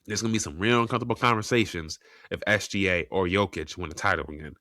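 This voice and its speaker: Congested deep-voiced man ranking basketball greats. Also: fast talker, pushed and bright, dry recording, clean studio sound. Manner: opinionated, thinking aloud with restarts. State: congested, under the weather. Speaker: podcast host. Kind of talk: solo sports commentary podcast.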